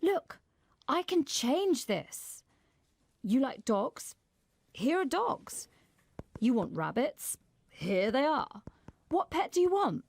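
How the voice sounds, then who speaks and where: A woman's voice: sits high, big pitch swings, takes it slowly, vocal fry, long pauses, a little mellow, no room sound, heavily acted. A woman voicing a confident, pushy, tech-loving young girl in a children's storybook, bossy and showing off.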